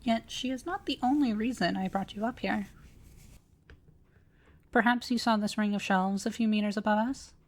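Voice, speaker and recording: A woman playing an ancient, courteous automaton librarian in a scripted audio roleplay, speaking formally with precise, measured diction. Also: mid-range voice, natural voice. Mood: calm, instructive. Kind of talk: scripted roleplay audio drama addressed to listener.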